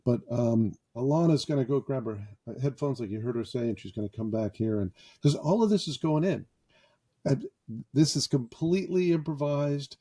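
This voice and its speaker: A man's voice: medium pitch, normal speed, warm tone, husky. A man hosting a podcast, joking about himself in a loose, improvised monologue from a small foam-padded closet. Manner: self-deprecating and jokey. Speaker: podcast host.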